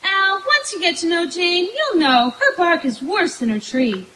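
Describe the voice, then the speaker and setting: A woman playing a very high-pitched, sweetly dim girlfriend. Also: lively intonation, unhurried, pushed and bright, heavily acted. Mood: earnest, hopeful. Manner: gushing, naive, earnest. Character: sweet, dim-witted, adoring young girlfriend, a dumb blonde. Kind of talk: old-time radio comedy acting on stage. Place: outdoor woodland stage. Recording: noisy live outdoor stage recording.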